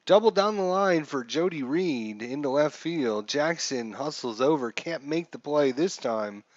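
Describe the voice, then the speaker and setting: Slow-paced man calling a baseball game. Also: fairly high pitch, lively intonation, no room sound, muffled recording. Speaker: live streamer, host. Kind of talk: baseball game play-by-play commentary.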